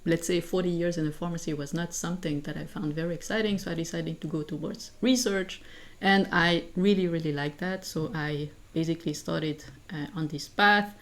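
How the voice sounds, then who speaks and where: A woman's voice: sits low, fairly even intonation, normal speed. A woman from Switzerland in her forties, a guest academic answering interview questions in earnest, thinking aloud with many fillers and restarts. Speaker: guest, assistant professor and founder.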